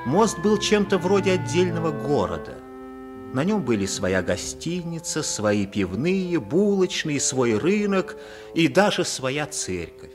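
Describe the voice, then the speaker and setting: A theatrical storyteller in a vintage radio play. His voice is slightly high for a man and richly varied in melody, telling an adventure tale in measured phrases over music. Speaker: narrator. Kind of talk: scripted storybook narration in a 1950s radio play. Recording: vintage 1950s radio recording.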